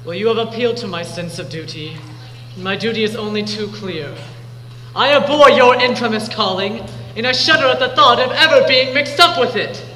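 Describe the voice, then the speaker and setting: A man's voice: moderate pace, sharp tone, a little hiss or hum. A man playing an earnest young pirate apprentice. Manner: earnest, theatrical, bursts of high singing. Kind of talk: live operetta stage acting and singing. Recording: echoey hall, live stage with orchestra.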